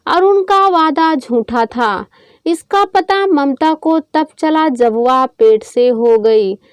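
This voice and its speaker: A woman's voice: high-pitched, no room sound. A woman narrating a scripted story as a storyteller.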